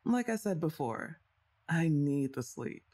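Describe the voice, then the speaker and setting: A young woman performing a scripted ASMR roleplay, talking straight to the listener in a teasing, playfully bossy way, with sighs and soft laughs between lines. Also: low voice, sing-song, unhurried.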